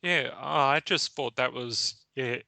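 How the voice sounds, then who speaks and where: A man's voice: mid-range voice, measured pace, vocal fry, pushed and bright, dry recording. A man hosting a casual podcast chat, laughing often and reading out game text. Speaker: podcast host.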